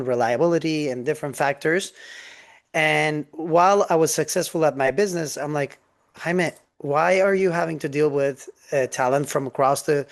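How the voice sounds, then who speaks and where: A man tells his story as a podcast guest. He talks in an earnest, eager way, with a slightly high voice for a man. He sometimes restarts his sentences, and he is heard over a video call. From Turkey. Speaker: podcast guest, business founder. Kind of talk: interview storytelling.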